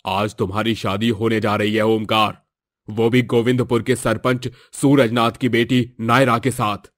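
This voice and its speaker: A man's voice: on the low side, flat delivery, a little slow, natural voice. A man voicing a domineering, scornful older village headman and father in a moral-story cartoon, with a scolding, haughty manner. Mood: stern, commanding.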